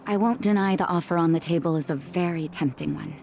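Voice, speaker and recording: A woman voicing a calm, thoughtful, caring woman in a video game, measured and hesitant. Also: on the low side, soft background music, natural voice. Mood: thoughtful, resolute.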